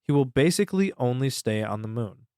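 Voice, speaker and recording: A man's voice: medium pitch, expressive, brisk, a little mellow, clean tone, no echo, studio quality. A man co-hosting a podcast, recapping a story in a rambling, casual way and swearing freely. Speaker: podcast co-host.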